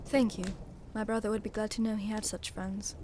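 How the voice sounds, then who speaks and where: A woman's voice: medium pitch, little pitch movement, brisk, mellow, lightly acted. A woman playing a formal, firm aunt who runs an estate, in an amateur radio play. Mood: gracious. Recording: faintly noisy home recording.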